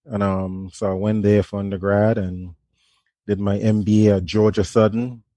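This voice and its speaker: A man from Trinidad and Tobago with a Trinidadian accent, a management professor speaking softly and in a friendly way as a radio guest. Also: on the low side, level tone, normal speed, no echo. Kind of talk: radio interview.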